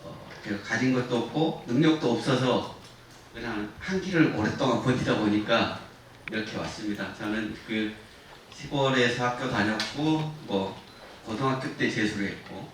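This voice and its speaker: A man's voice: mid-range voice, moderate pace. A man in his sixties giving an informal guest lecture to students, frank and self-deprecating, with many fillers. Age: 60s. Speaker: production company head giving a guest lecture. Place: university lecture hall. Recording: distant mic in an echoey lecture hall with noise.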